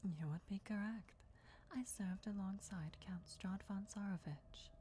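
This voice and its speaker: A woman voicing an ancient, loyal vampire countess in a formal, deferential, measured whisper for fantasy ASMR roleplay. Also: medium pitch, mellow, a little hiss or hum, natural voice. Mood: reverent, courteous. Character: ancient female vampire countess, devoted herald's speaker, formal and reverent.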